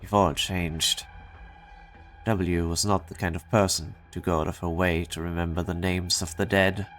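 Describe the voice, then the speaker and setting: A man voicing a weary, steadfast soldier in a dramatic game dub, with a somber, measured delivery. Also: sits low, level tone, a bit bright, soft background music. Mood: somber, calm. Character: weary, steadfast male scout, doomed veteran soldier.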